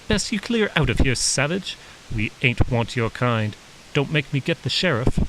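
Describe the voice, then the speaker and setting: Story role: man as a suspicious frontier mother, scolding and folksy, pitched up, sharp-tongued, over faint hiss. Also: sing-song, moderate pace, low-quality recording. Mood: hostile, scolding.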